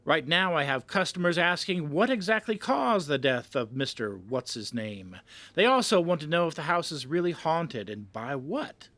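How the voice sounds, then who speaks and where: A man's voice: fairly high pitch, very animated, normal speed, a bit bright, no room sound. A man playing an effusive, pushy magazine boss in an audio drama, hearty and emphatic in a theatrical way. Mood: excited, pushy.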